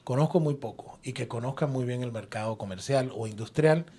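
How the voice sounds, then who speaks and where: A man's voice: mid-range voice, brisk, dark tone, breathy edge. A man hosting a live radio talk show from a booth, joking with his guests and mixing in English terms.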